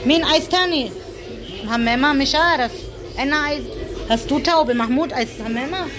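A woman's voice: high-pitched, moderate pace. A middle-aged woman from Germany vlogging with a phone held at arm's length, chatting casually and dropping in Arabic words as she walks around. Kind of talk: casual vlog chat. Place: busy city street and restaurant, outdoors and in. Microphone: handheld phone at arm's length. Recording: loud street crowd and noise.

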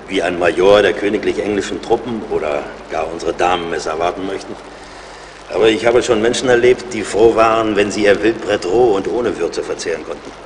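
A man acts a seasoned woodsman in an audio drama. His voice is deep and his manner plain-spoken, watchful and wry. It rings with long reverb over clear background noise. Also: lightly acted. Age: middle-aged. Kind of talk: German audio drama acting. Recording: reverberant, noisy outdoor-like ambience.

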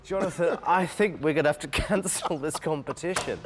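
A man's voice: fairly high pitch, a little fast, a little hiss or hum. A man judging auditions, blunt and cutting in his critiques. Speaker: talent show judge.

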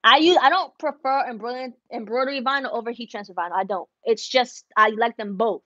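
A woman's voice: fairly high pitch, fast, sharp tone, no echo, lo-fi sound. A young woman whose first language is Spanish, chatting casually on a livestream from her embroidery studio, switching between English and Spanish. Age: young adult. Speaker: embroidery business vlogger. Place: embroidery studio room, indoors. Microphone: wireless earbuds.

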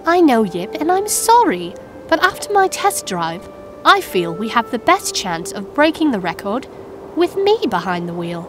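A determined young female cartoon crow, talks high and emphatically. Her pitch moves about a lot and she sounds sure of herself. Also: sing-song, normal speed, noisy background. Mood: confident. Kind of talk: children's cartoon acting.

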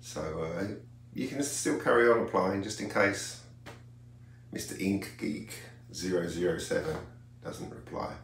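An older man talking casually and haltingly in a low-key, unhurried way, like a hobbyist vlogging at home. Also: vocal fry. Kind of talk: casual hobby vlog commentary. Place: small home radio room, indoors. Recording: roomy sound with faint hum.

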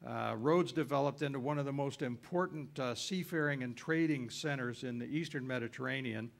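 A man's voice: medium pitch, brisk, gravelly. A man giving an informal travel lecture on a cruise ship, folksy and rambling, with frequent uhs and self-corrections.

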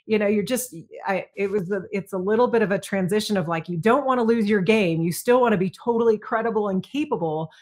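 A woman's voice: mid-range voice, brisk, few pauses, darkish tone. A middle-aged woman answering interview questions over a video call from a room with bookshelves, earnest and reflective. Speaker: guest, retired fighter pilot.

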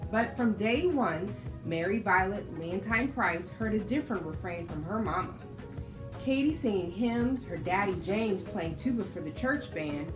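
Children's storytime host: American woman, 30s, medium pitch, expressive and instructive, clear music bed underneath. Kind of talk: read-aloud storytelling for children.

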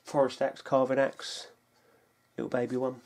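A British man giving a casual, unscripted rundown of his kit in a low-key, halting way, as if thinking aloud. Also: monotone. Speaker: bushcraft hobbyist presenting his kit. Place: indoors on a wooden floor at home. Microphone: camera mic, a short distance away. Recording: noisy home video, clattering gear. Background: clicks and clatter of handled gear.

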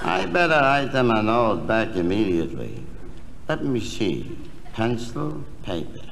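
Gruff stingy husband, slow delivery, swooping pitch, 1960s comedy record, reverberant hall. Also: male voice, big pitch swings, fairly bright tone, slight background noise, exaggerated voice. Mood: deadpan. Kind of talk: 1960s comedy album sketch acting.

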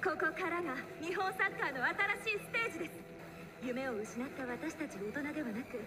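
A woman's voice: sits high, lively intonation, brisk. An actress playing an earnest, determined young businesswoman giving a public speech in an anime. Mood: impassioned. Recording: noisy ambience behind.

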